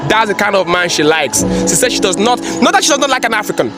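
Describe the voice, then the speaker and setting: A man's voice: high-pitched, very animated, fast talker, over loud music. A man giving a preachy, emphatic reaction commentary, repeating phrases for effect. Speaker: commentary vlogger.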